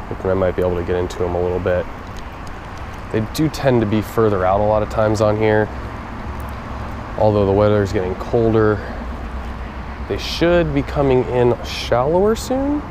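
A young man talking casually to his viewers while he fishes at a pond, recorded on an action camera. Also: fairly low pitch. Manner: chatty, talks to viewers while fishing. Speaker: fishing vlogger. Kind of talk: casual outdoor commentary. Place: outdoors at a pond. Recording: outdoor noise from a body camera.

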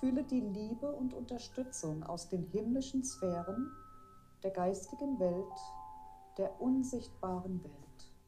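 A woman guiding a meditation in a gentle, deliberate, soothing manner. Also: medium pitch, animated. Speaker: meditation guide. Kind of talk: guided meditation narration. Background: meditation music.

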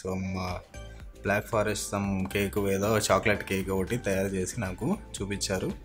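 A man's voice: low-pitched, little pitch movement, moderate pace, faint music behind. A young man vlogging a factory tour, explaining each step to his viewers in a friendly way, recorded indoors in a small workshop. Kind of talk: casual vlog narration.

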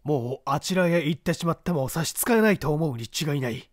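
Male reader as composed gentleman thief, earnest, formal, storybook reading, dry sound. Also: a little high, brisk, no room sound, close to an everyday voice. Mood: calm.

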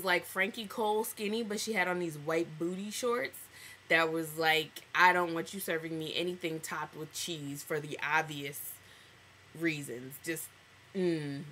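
A young American woman chats casually to her webcam. Her voice sits a little low for a woman and is bright and forceful. She is animated and sassy, full of slang. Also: a little hiss or hum. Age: young adult. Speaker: vlogger. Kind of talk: casual vlog storytelling. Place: small indoor room at home.

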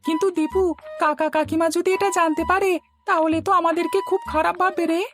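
Casting: obedient, nervous cartoon village kid, very high small voice, fretful, over background music. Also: female voice, steady tone, brisk. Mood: anxious. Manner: anxious questioning. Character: timid, worried, obedient young village boy. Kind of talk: cartoon voice acting.

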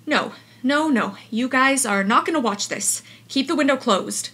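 A woman playing a flustered, protective aunt in an audio drama, speaking in an urgent, halting, reassuring way. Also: high voice, expressive, fairly bright tone, slightly rough, exaggerated voice. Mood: reassuring, firm. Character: flustered, protective aunt hurriedly soothing her nephews.

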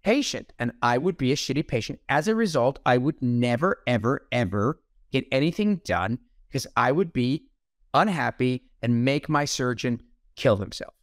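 A man's voice: medium pitch, lively intonation, moderate pace, dry recording, studio quality. A middle-aged man, a plastic surgeon, talking bluntly and jokingly on a video call. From Iran. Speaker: plastic surgeon, podcast host. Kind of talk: casual interview chat. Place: home room on a video call.

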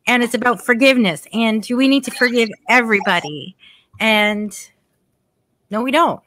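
A middle-aged woman hosts a casual online chat from home. Her voice is bright and a little high, picked up close by a desk mic with a dry sound. She talks chattily and agrees often. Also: moderate pace, no echo. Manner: chatty, warm affirmations, reads scripture aloud. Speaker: podcast host. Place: home room, indoors. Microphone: desk mic on video call.

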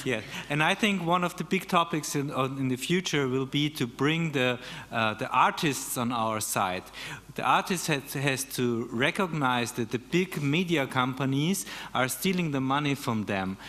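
A man's voice: mid-range voice, expressive, moderate pace, bright, forceful tone, in a large hall. A man from Austria speaking up from the audience, enthusiastic, in slightly broken grammar. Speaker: audience member. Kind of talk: audience comment.

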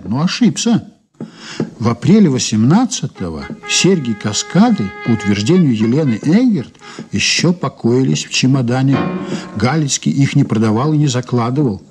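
An actor playing a courteous, sly investigator in a Soviet radio play, polite and insinuating with a deliberately casual air. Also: male voice, mid-range voice, lightly acted. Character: courteous, sly male investigator probing a witness. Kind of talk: Soviet 1980s radio play, dialogue and first-person narration.

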